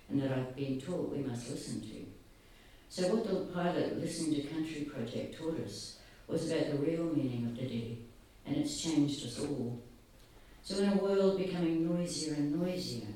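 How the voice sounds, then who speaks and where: A soft-spoken man giving an earnest, halting public talk, often pausing. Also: medium pitch, a little hiss or hum. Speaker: speaker at a gathering. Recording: very echoey, distant, faint ambience.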